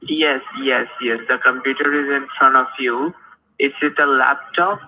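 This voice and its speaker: A man on a muffled phone line keeps pressing for details and repeats his questions. His voice is raspy with a sharp, bright edge. He sounds insistent and impatient. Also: mid-range voice, steady tone, a little slow. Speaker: phone scammer.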